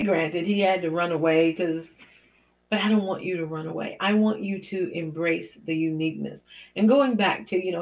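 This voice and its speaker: A middle-aged woman hosting her own show, talking in an encouraging, preacherly way with small laughs. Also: vocal fry. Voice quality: deep for a woman. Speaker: host. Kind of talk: inspirational talk show monologue. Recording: dull lo-fi podcast audio.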